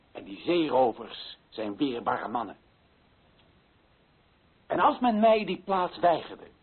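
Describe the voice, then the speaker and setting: An actor playing an impassioned, indignant author declaiming against colonial injustice in a radio play, his voice husky and his delivery emphatic. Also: sits high, full character voice. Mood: resolute. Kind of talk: dramatic radio play monologue. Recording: tinny, phone-like narrow-band sound.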